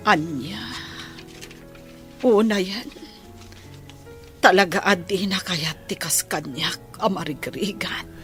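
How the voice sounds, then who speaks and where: A woman playing a poor, blind, long-suffering mother in a radio drama, speaking softly and with resignation. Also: lowish voice, slow pace, long pauses, faint music behind. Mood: resigned. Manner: soft, resigned, apologetic.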